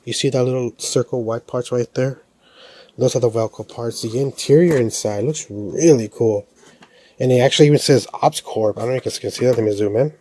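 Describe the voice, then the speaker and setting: Low-pitched man, casual unboxing chat. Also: a little mellow. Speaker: toy collector reviewing a helmet.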